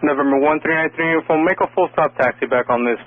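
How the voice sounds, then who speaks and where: A man's voice: on the high side, fast talker, phone-quality sound, crowd noise. A male air traffic controller on the radio, giving clipped instructions and rebukes in a firm, curt manner.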